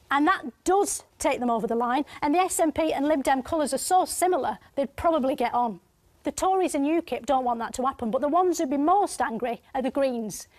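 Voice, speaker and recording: A woman acts out a deadpan, clueless woman reporter in a televised comedy sketch, explaining everything with total sincerity and no sense at all. Her voice sits high for a woman and sounds very dry and close, with no room echo. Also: lightly acted. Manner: deadpan, naive, flat delivery. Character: dim, earnest woman reporter, deadpan and naive. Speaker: comic presenter character. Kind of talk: scripted comedy piece to camera. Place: green-screen studio.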